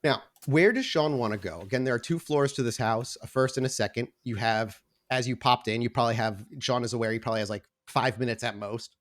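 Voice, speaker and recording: A man's voice: medium pitch, brisk, few pauses. A man working as game master, narrating a tabletop roleplaying game. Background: background music.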